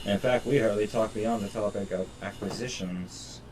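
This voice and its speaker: A man's voice: on the low side, steady tone, normal speed, background noise. A man live-streaming a game, reading the story aloud with stumbles and sweary commentary. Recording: distant mic, game music behind. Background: game music.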